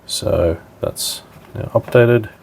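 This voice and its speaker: A man's voice: lowish voice, takes it slowly, gravelly, darkish tone, a little hiss or hum. A man giving a casual, plain-spoken step-by-step technical demonstration as a tutorial presenter.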